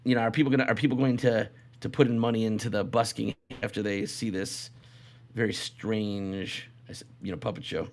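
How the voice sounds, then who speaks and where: A man's voice: fairly low pitch, steady tone, normal speed. A man hosting a casual live podcast, talking in a rambling way and often repeating phrases as he goes. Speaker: podcast host.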